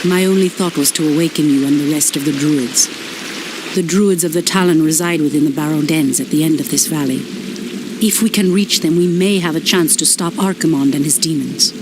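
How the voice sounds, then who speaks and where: Casting: actrice als strijdbare priesteres, trots en minachtend. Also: female voice, low-pitched, brisk, noisy background, lightly acted. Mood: proud. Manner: proud, scornful. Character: proud female elf priestess, fierce and scornful. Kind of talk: game cutscene acting. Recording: voice over loud music.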